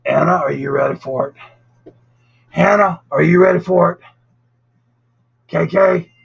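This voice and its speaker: A man with a gravelly, raspy voice hosting a live storytelling show, chatting casually with viewers in a drawn-out, theatrical, teasing way. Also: fairly high pitch. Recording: dull lo-fi audio, music behind. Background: music.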